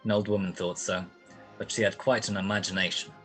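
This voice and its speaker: An actor playing a tough, sardonic private detective and narrator in 1940s radio style. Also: male voice, deep voice, flat delivery, a little fast, fairly bright tone, soft background music. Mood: wry narration. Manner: sardonic, wisecracking.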